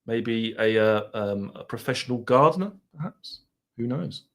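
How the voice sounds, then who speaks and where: Essex bloke rambling on a live stream, chatting to viewers, casual banter, bit of mild swearing. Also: male voice, medium pitch, animated, moderate pace, fairly bright tone.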